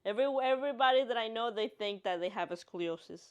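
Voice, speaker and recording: A woman's voice: mid-range voice, fast, clear, resonant tone, highs cut off. A woman in her late twenties co-hosting a podcast in a casual, chatty style. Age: late 20s. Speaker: podcast co-host.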